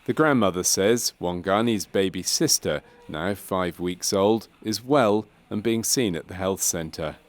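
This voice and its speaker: Low-pitched male documentary narrator. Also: a little slow, few pauses. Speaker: narrator of a medical training film.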